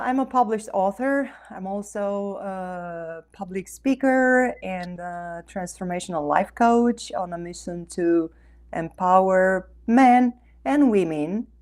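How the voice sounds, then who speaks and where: A woman's voice: mid-range voice, darkish tone. A woman from Romania, speaking effusively and earnestly as a guest in a conversational interview. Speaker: interview guest, author and life coach.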